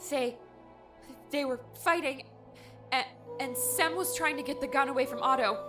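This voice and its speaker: A woman plays a young heroine in a full-cast audiobook, stammering out her words in a high, urgent voice. A music score runs underneath. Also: moderate pace, lightly acted. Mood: panicked. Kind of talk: audio drama acting.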